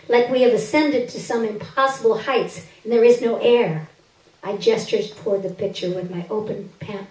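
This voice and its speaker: A woman reads a literary story aloud on stage in an expressive, emphatic first-person narrating style. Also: mid-range voice. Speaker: story reader. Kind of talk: dramatic literary reading aloud. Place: live reading at a stage event, indoors. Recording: roomy echo, distant microphone.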